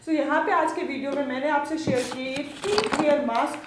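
A woman's voice: high voice, background noise. A young woman hosting a beauty channel, chatting through a sponsored hair product review and mixing English into Hindi. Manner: chatty, mixes English into Hindi, reads product names slowly. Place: indoor room with lamp and plants. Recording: echoey room.

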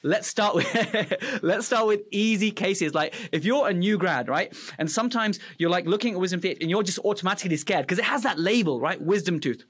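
A man in his thirties hosting a podcast interview, enthusiastic and expressive, speaking into a studio mic on a boom arm in an indoor home studio. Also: brisk, slightly rough. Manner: enthusiastic, expressive, gestures while talking. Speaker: podcast host.

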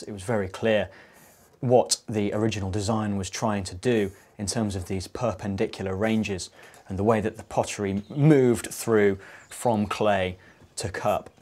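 Low-voiced architect, measured. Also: male voice. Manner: measured, thoughtful, with occasional fillers. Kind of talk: documentary interview.

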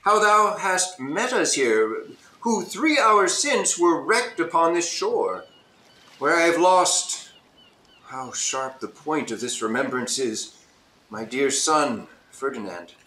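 A man's voice: a little high, very animated, takes it slowly, sharp tone, exaggerated voice. Echoey, emotional grieving king, acted by a man. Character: grieving, repentant king and father. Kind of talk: Shakespearean audio drama acting. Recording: roomy, echoing home recording.

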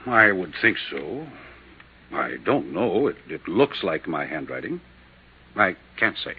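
A man's voice: low voice, sing-song, slow, husky, full character voice. An American man acting an irritable, overworked doctor in his late thirties, curt and defensive, in a 1950s radio drama. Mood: curt, evasive. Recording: muffled lo-fi 1950s radio recording.

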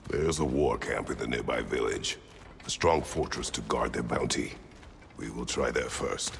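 A hardened older warrior from a fantasy game, his voice rock-bottom deep and full of heavy gravel. He speaks in terse, commanding fragments, grave and formal, with a long reverberant tail. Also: moderate pace, a little hiss or hum. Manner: clipped, solemn, formal short sentences. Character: stern, battle-weary older warrior father, terse and commanding. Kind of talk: video game dramatic acting.

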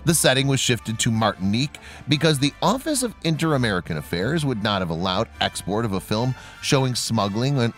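A man reading scripted trivia narration in a measured, formal manner. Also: medium pitch, fairly bright tone.

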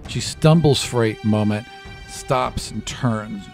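A man's voice: fairly low pitch, slow, vocal fry, darkish tone. A man in his thirties improvising casual, vivid narration as a game master, close on a studio microphone. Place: small indoor podcast studio. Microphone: close studio microphone with foam cover. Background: background music.

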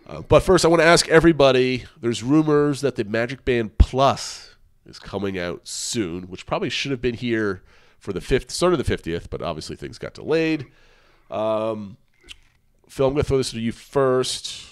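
A man's voice: medium pitch, expressive, normal speed, smooth. A man in his thirties co-hosting a podcast over a video call, nasal and congested from a cold, greeting listeners in an upbeat way. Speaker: podcast co-host. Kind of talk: casual podcast chat. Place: small room at home. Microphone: headphones and desk mic on a video call.

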